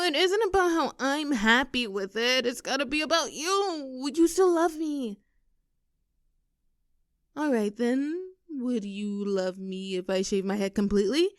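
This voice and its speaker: A woman's voice: fairly high pitch, clear, resonant tone, dry recording, clean studio sound, natural voice. A woman playing an affectionate, teasing young girlfriend in an intimate roleplay audio, coaxing and giggly in a conversational way. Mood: playful, curious. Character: playful, clingy, affectionate young girlfriend teasing her partner.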